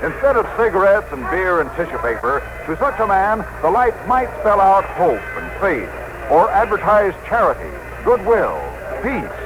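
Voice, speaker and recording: A man's voice: high-pitched, sing-song, moderate pace, low-quality recording. A man playing an earnest, easily flustered street reporter in a radio drama, polite and sentimental in announcer style. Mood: earnest, reflective. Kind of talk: 1960s radio acting, live commentary. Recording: old radio broadcast with background music.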